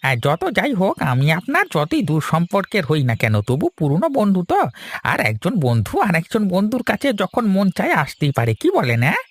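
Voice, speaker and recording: A man's voice: sits high, wildly swooping pitch, brisk, exaggerated voice. A man voicing an ingratiating, over-polite doctor and uninvited guest in a Bengali cartoon, with a Bengali accent. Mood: oily, friendly. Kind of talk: cartoon voice acting.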